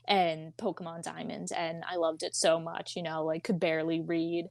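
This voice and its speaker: A woman's voice: sits low, level tone, normal speed, gravelly, dry recording. A twenty-year-old woman with a Philadelphia accent, chatting casually as a podcast guest in an informal, slangy, joking way. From Philadelphia area and South Jersey, United States. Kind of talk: casual chat.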